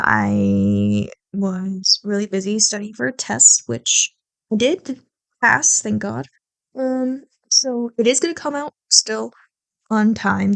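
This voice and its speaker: A woman's voice: mid-range voice, gravelly, no room sound. A young woman, an ASMR roleplay creator, chatting casually and affectionately to her listeners in a rambling, apologetic way.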